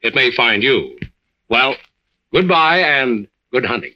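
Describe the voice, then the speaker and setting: An actor playing a genial, considerate adventurer who hosts and narrates an old radio drama. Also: male voice, mid-range voice, lively intonation, takes it slowly, very noisy. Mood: genial, intriguing. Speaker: series lead and narrator-host. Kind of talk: 1940s-50s radio drama and hosting. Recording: muffled old radio recording.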